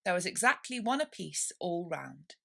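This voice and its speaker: A woman reading a children's classic as scripted narration, in an expressive storytelling manner. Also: on the low side, normal speed, vocal fry. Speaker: storybook reader.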